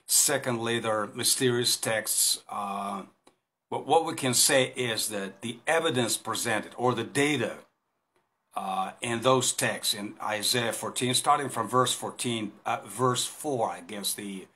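A man's voice: medium pitch, a little slow, pushed and bright. Casting: Russian-accented man in his fifties, hesitant Bible teacher speaking to a phone in a small room. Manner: hesitant, many fillers and restarts, gestures while talking. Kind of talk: informal recorded Bible talk. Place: small indoor room against a plain wall. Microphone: phone camera at arm's length.

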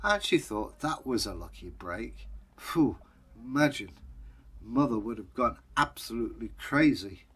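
A man's voice: medium pitch, lively intonation, measured pace, a bit bright, slightly rough. English man telling a folktale. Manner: wry, conversational asides. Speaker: storyteller, channel host. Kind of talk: animated oral folktale storytelling.

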